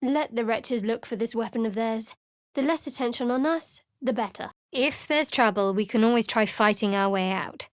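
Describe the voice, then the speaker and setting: A woman's voice: fairly high pitch, moderate pace, no echo, lightly acted. A woman voicing a guarded, sardonic young cleric adventurer in a video game, speaking with dry, cutting wit and cool reserve. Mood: wry.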